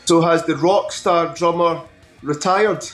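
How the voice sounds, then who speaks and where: A man hosting a rock talk show, asking friendly questions with halting restarts over a video call. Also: fairly high pitch, a bit bright, faint music behind. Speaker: podcast host. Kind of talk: interview hosting. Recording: roomy video-call audio.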